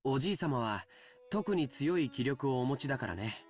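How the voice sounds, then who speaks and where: An actor voicing a calm, caring young man in a visual novel, speaking softly and reassuringly. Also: mid-range voice, very fast, natural voice. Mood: gently reasoning. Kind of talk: Japanese game voice acting. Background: clear game music.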